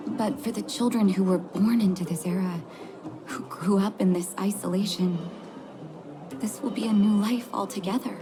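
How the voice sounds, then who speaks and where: A woman playing a gentle, caring, composed adult in a video game, speaking in a soft, measured, earnest way. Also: medium pitch, close to an everyday voice. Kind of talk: video game cutscene acting. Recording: music behind the voice.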